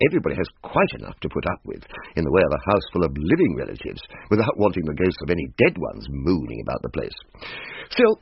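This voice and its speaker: A man playing a pompous, aggrieved Victorian gentleman who narrates a ghost story in a florid British manner. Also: low-pitched, creaky, breathy edge, no room sound, muffled recording, full character voice.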